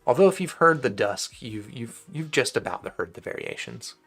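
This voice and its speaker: A man's voice: mid-range voice, big pitch swings, moderate pace, vocal fry, fairly bright tone, faint music behind. A man hosting a live stream, answering viewer questions about audio gear in a casual, rambling way, his voice getting dry and tired. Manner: rambling and conversational, with frequent restarts.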